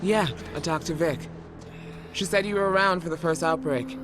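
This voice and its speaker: A woman's voice: fairly low pitch, normal speed, background noise, close to an everyday voice. A woman playing a tough, dry-witted engineer and fighter in a video game, casual and unimpressed. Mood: dry, guarded. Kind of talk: video game cutscene acting.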